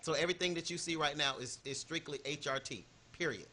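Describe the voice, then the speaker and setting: A man's voice: a little high, a little fast, pushed and bright. A man on a discussion panel who speaks earnestly and often restarts with "you know". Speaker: panel guest.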